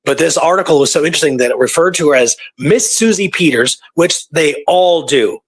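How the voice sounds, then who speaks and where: Guy with a slightly high voice, rambling casually about old records, repeats himself for emphasis. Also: animated, fairly quick, breathy edge. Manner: rambling, informal, emphatic repetition. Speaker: history researcher and show guest or co-host. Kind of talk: casual discussion of historical research.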